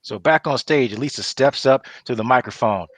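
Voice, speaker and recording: A man with a mid-pitched voice narrates scene directions in a dry recording. His delivery is informal, like someone casually telling a story. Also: normal speed, fairly bright tone, no room sound.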